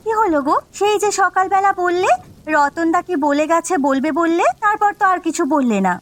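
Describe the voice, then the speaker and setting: A woman voicing a practical, inquisitive village wife in a cartoon story, with an expressive, probing way of talking. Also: very high voice, fairly quick. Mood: curious. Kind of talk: Bengali cartoon story voice acting.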